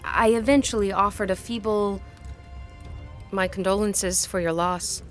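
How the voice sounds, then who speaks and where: A woman's voice: medium pitch, fairly even intonation, unhurried, soft background music, lightly acted. An actress playing an earnest, curious woman historian in a gothic audio drama, speaking in articulate, formal and literary phrases. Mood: reflective.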